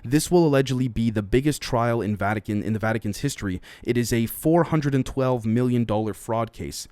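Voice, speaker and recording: A man's voice: medium pitch, a little fast, darkish tone, no room sound. A man hosting a solo podcast, running through news items with opinionated, speculative commentary and often speaking directly to his listeners. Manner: frequently addresses listeners directly. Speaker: podcast host.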